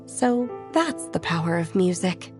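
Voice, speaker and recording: A woman voicing a calm, stoic young disciple of immortals in a video game, formal and measured. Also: lowish voice. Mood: wistful. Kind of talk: video game character acting. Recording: music playing behind.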